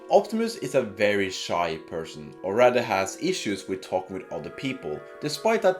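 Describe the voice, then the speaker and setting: A man giving a casual, narrated video game review in informal, slangy language. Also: on the low side.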